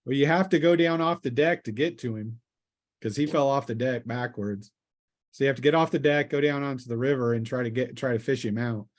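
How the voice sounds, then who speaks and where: A man's voice: medium pitch, a little fast. A man acting as game master, narrating a tabletop role-playing game in a casual, descriptive, conversational way.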